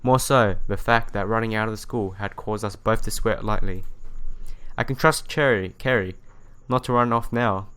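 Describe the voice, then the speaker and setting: A man's voice: fairly low pitch, moderate pace, a bit bright, clean tone. A man reading visual novel narration aloud as a let's player, telling it expressively and sometimes stumbling and rereading phrases. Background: music.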